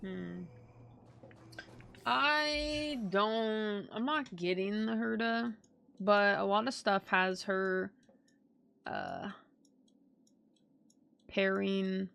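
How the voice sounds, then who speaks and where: Creaky-voiced woman game streamer. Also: mid-range voice, drawn-out words, long pauses, a bit bright. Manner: slangy, offhand, trailing off. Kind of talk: casual live stream chat while gaming. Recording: clear background noise, clicking, game audio.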